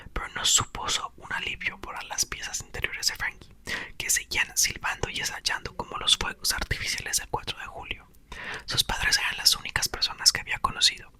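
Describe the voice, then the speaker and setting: A man reading a novel aloud in a soft, slow ASMR whisper, close to the microphone. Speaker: ASMR reader.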